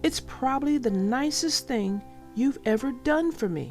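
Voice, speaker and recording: A woman's voice: high voice, animated, measured pace, a little mellow. An earnest seven-year-old storybook boy, voiced by a woman. He is patient and unruffled, and his speech moves up and down expressively over background music. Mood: calm. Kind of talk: children's storybook read-aloud.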